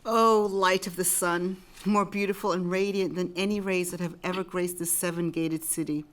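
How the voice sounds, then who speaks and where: A woman's voice: fairly low pitch, steady tone, normal speed, natural voice. A woman playing a solemn, reverent chorus member, declaiming poetic battle verse in a Greek tragedy. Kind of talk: dramatic reading of Greek tragedy.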